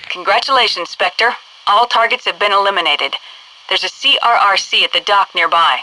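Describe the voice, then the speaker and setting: An actress voicing a stern, brisk military headquarters instructor in a video game, giving clipped, commanding tutorial orders over a noisy radio-like transmission. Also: female voice, medium pitch, bright, forceful tone, no room sound. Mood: brisk, instructive.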